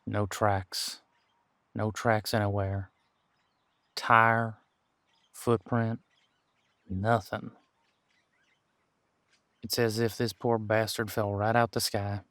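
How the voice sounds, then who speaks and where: A man acting as a seasoned detective in an audio drama, with a lowish voice in a dry, close recording. He is a patient, wry mentor who thinks aloud in folksy, colloquial phrases and takes his time. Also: little pitch movement, takes it slowly, pauses often, a bit bright, dry recording. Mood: puzzled, wry. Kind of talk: roleplay audio drama, one-sided conversation.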